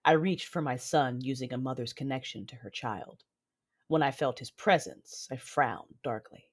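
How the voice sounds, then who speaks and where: A woman's voice: rumbling low, normal speed, breathy edge. A woman reading dramatic first-person scripted narration as the narrator of an audio drama.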